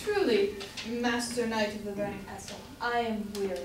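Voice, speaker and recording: A woman playing a weary, polite gentlewoman in an amateur staged reading of a Jacobean comedy. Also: mid-range voice, steady tone, normal speed, natural voice. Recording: distant echoey room, background hiss.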